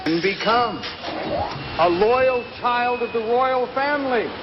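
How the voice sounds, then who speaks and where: A menacing villain ruler from a fantasy movie. The voice is high for a man and drawn out, delivered as film acting. It comes through a dull clip buried in loud noise. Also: animated, slow pace, full character voice. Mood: taunting, commanding. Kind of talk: live-action film acting. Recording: muffled, very noisy film clip audio.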